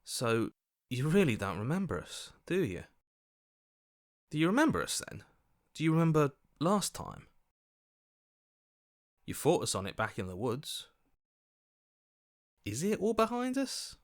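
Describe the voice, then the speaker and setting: An actor playing an earnest, principled hero in a video game, with a sceptical, pressing manner. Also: male voice, a little high, wildly swooping pitch, unhurried, long pauses, no room sound, studio quality, full character voice. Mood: questioning. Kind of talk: video game character voice acting.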